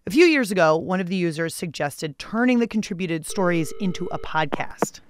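A woman's voice: fairly low pitch, lively intonation, a little fast, clear, resonant tone. An American woman hosting a radio show, reading scripted narration with playful, theatrical emphasis and casual asides.

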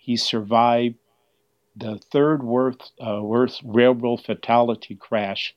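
A man's voice: mid-range voice, fairly even intonation, slow, dry recording. An older man explaining history as an interview guest, heard over a dull, band-limited line. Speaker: museum exhibit curator, interview guest.

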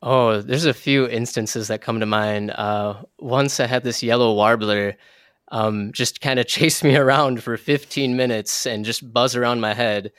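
A man's voice: medium pitch, fairly even intonation, a little slow, fairly bright tone, smooth, no echo, clean studio sound. A young American man chatting casually as an interview guest, with an informal, slangy, effusive way of talking. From southern Minnesota, United States. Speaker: interview guest, wildlife photographer.

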